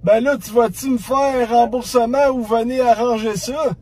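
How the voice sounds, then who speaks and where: A pushy, childlike prank caller with a Quebec French accent. The voice is extremely high-pitched and raspy. He whines and rambles through his phone call with dim, familiar persistence. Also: fairly even intonation, moderate pace, darkish tone. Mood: complaining. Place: inside a parked car.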